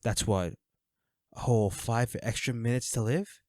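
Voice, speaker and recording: A man playing a flirty, menacing villain in an audio roleplay, speaking to the listener with taunting, mock-sympathetic, unhurried delivery. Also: medium pitch, darkish tone, dry recording, studio quality, close to an everyday voice. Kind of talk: scripted audio roleplay acting addressed to the listener.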